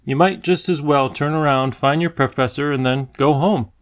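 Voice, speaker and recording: A man voicing a towering, irritable but soft-hearted royal official in a storybook, brisk and grave. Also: mid-range voice, creaky, close to an everyday voice. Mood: grave, firm.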